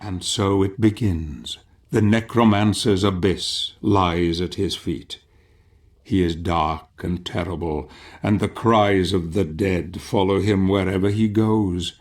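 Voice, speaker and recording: An actor playing a grave, foreboding older storyteller, narrating a dark fantasy game in a solemn, theatrical way. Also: male voice, low-pitched, steady tone. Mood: solemn, ominous. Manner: solemn, theatrical, deliberate phrasing. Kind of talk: dramatic scripted game narration.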